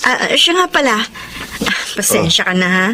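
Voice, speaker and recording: Chatty coaxing mother, apologetic, Filipino accent, medium pitch, radio drama, faint background noise. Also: very animated, normal speed, bright, forceful tone.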